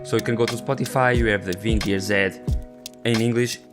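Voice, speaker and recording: A man with a Portuguese accent, a native Portuguese speaker, hosting a podcast interview in halting, casual speech full of "you know". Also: mid-range voice, steady tone, over music. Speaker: podcast host.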